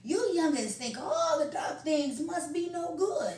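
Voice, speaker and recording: A woman's voice: high-pitched, expressive, measured pace, darkish tone, pitched above the performer's own voice. A woman voicing a loving old grandfather who talks in a folksy drawl, firm and reassuring, in a roomy recording from a distance. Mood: tender.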